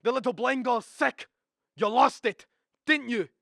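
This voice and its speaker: Young man acting a slow, angry drugstore boss. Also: extremely high pitch, creaky, fairly bright tone, no room sound, clean studio sound, heavily acted. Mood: furious. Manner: barking, accusing. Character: angry, drunk, grieving middle-aged drugstore owner. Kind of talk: stage-like radio drama acting.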